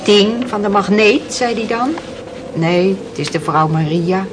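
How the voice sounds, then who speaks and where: A woman's voice: medium pitch, measured pace, natural voice. An actress playing a sorrowful, twice-widowed fisherman's wife in a radio drama, telling her story in plain, emotional words. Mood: anguished. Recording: noisy old radio play recording.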